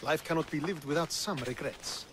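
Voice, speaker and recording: An actor playing a proud, loyal young Spartan officer in a video game, formal and commanding. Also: male voice, on the high side, normal speed, background noise, close to an everyday voice. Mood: hesitant, reflective. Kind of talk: dramatic video game acting.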